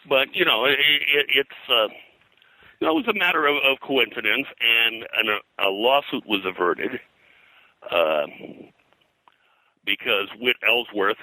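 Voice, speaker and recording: An older man, an interview guest, recounting history in a halting, discursive, scholarly way. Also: on the low side, bright, forceful tone, no room sound, rough recording. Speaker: guest, comics and pulp fiction historian.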